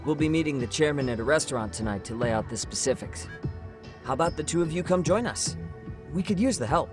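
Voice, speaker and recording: An actor playing a friendly young rookie detective in a video game. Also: male voice, on the high side, lively intonation, fast talker, over music, lightly acted. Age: young adult. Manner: friendly, breezy planner.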